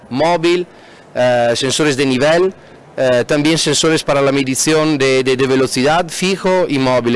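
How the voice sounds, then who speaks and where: A man's voice: medium pitch, clear, resonant tone. A male engineer giving a product presentation in a webinar, hesitant with many fillers and restarts, speaking a language that is not his first. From Austria. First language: not Spanish.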